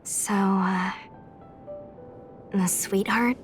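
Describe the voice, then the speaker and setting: A woman's voice: medium pitch, steady tone, drawn-out words. An actress playing an eager, earnest and polite young female knight in a video game, a little hesitant and apologetic. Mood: curious, hesitant. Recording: game music behind the voice.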